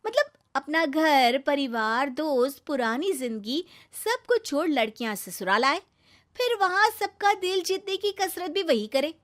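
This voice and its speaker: A woman voicing an outspoken, questioning young bride in an animated moral story, earnest and emphatic. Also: high voice, a little slow. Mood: indignant.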